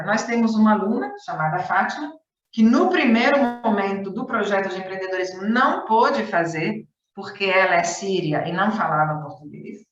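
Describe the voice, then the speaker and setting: A woman speaks in an everyday mid-range voice, giving a conference talk over a video call. She talks in a chatty way, restarting sentences and repeating words for emphasis. Her voice sounds far from the mic, with a roomy echo and dull, lo-fi call audio. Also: medium pitch. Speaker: conference speaker, volunteer teaching coordinator.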